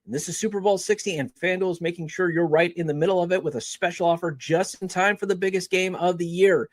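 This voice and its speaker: Male podcast promo reader. High-pitched voice, scripted sponsor copy, dry studio-like sound. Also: fairly even intonation, fast talker, no room sound. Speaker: sports podcast host reading promos. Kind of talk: scripted ad read.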